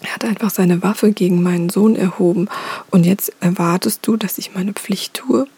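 A woman voicing a grieving, furious male wind god, whispering softly. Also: mid-range voice, fairly even intonation, normal speed, husky, dry recording. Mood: angry grief.

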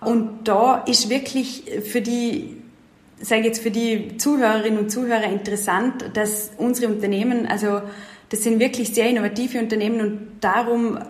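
A woman's voice: on the high side, fairly even intonation, normal speed, a little mellow. A young adult woman speaking as an event and project manager in an interview, explaining things eagerly and leaning on filler words. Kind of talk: casual interview talk. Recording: roomy echo.

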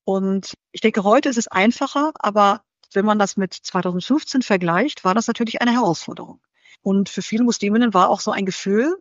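A woman giving careful, conversational answers as an interview guest. She talks in a measured way, often searching for her words. The recording is dry and slightly muffled. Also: medium pitch, fairly bright tone, no echo.

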